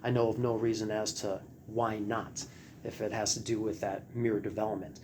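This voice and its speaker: A mellow-toned man presenting at a seminar thinks aloud through his ideas. He often answers the others with short listening murmurs. Faint noise sits behind his closer voice. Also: fairly low pitch, flat delivery, normal speed. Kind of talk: seminar discussion. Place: lecture room.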